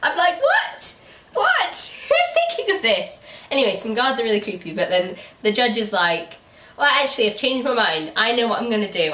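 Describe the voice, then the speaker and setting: A woman's voice: medium pitch, fairly bright tone, far from the mic. Woman chatting in an echoey room. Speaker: book review channel co-host. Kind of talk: casual chatty book review. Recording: echoey room, away from the mic.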